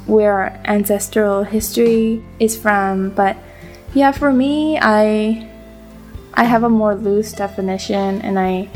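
A woman speaking thoughtfully in an interview, with small pauses. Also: medium pitch, a little mellow.

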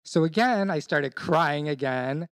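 A man's voice: a little high, lively intonation, measured pace, no echo. A young man whose first language is Vietnamese, speaking English as he recounts his family story openly and emotionally. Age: young adult. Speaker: interviewee. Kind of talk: personal testimony.

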